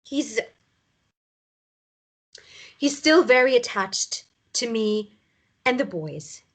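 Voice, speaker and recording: A weary mother in a drama, played by a woman, speaks in a fairly high voice. She is evasive and halting, smoothing over trouble as if it were normal. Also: expressive, full character voice. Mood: evasive, uneasy. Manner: hesitant, halting, appeasing. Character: weary mother downplaying her ex's abuse, evasive. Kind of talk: naturalistic drama table read.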